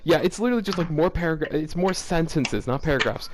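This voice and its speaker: A man's voice: fairly high pitch, lively intonation, quick pace, a little hiss or hum. A man casually reading fan fiction aloud and commenting on it, often breaking into incredulous laughter and groans.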